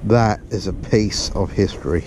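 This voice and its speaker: Excitable man on a beach. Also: lowish voice, a little slow. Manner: excitable, swears when thrilled, chatty asides to viewers. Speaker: metal detecting vlogger. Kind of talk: excited running commentary while detecting. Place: outdoors on a pebble and sand beach at dusk. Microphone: body-worn action camera. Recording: outdoor beach recording with clear background noise.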